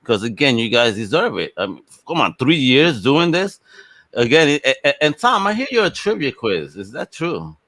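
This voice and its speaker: A man's voice: medium pitch, sing-song, normal speed, a bit bright, no room sound. A man hosting a podcast in a casual, encouraging, slangy and effusive way over a video call. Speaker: podcast host. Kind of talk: casual chat interview.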